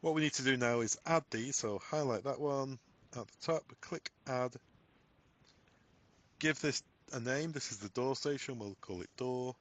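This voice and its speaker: A man presenting a software tutorial, giving step-by-step instructions in a voice-over. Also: mid-range voice, normal speed. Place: voice-over on a screen recording.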